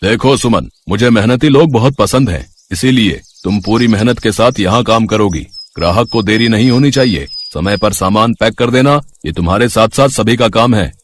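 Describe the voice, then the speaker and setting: A man voicing a stern, demanding grocery shop owner who gives brisk orders in a story. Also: on the low side, slight background noise, close to an everyday voice. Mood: commanding. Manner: brisk, commanding.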